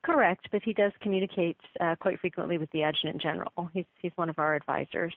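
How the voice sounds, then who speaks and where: A woman's voice: on the low side, fast talker, dry recording. A woman working as an office assistant, handling a business call in a polite, apologetic and deferential way over the telephone. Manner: deferential, apologetic, formal courtesy. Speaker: receptionist or office assistant taking calls. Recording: tinny band-limited phone line.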